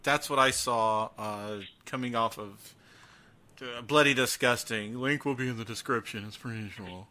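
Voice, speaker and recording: A man's voice: mid-range voice, normal speed, pushed and bright. A man hosting a casual podcast chat, joking at his own expense and swearing freely. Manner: jokey, self-mocking, swears freely. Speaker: podcast host. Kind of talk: casual profane podcast chat about horror.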